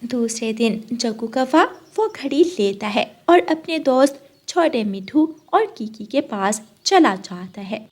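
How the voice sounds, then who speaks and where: A woman's voice: on the high side, animated, measured pace, few pauses. A woman narrating a scripted children's story as its narrator.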